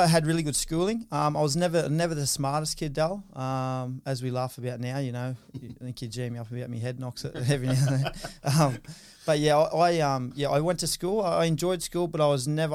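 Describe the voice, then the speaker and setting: An Australian man in his mid-thirties talks through his story as a podcast guest. He rambles, restarting sentences and filling gaps with ums and you-knows. His voice is smooth and clear, at a middling pitch, recorded dry and close. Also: dry recording. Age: 30s. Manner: rambling, many fillers and restarts. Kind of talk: casual interview.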